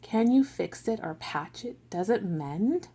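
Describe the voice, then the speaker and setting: A woman's voice: medium pitch, lively intonation, measured pace, warm tone, slightly rough. A woman reading a small grumpy young child. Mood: wondering.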